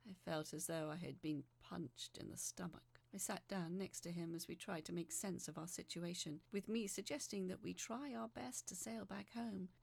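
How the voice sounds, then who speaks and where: A woman's voice: on the low side, creaky, a little mellow, breathy edge. A young woman performing a dramatic first-person horror narration in an emotive, suspenseful way.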